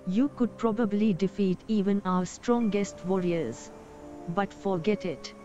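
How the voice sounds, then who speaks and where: A small young dwarf woman healer acted by a woman in a fantasy game, earnest and resolute. She speaks in a measured, wistful way at a middling pitch, on slightly dull audio. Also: dark tone, faint music behind, natural voice. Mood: firm. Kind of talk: dramatic video game dubbing.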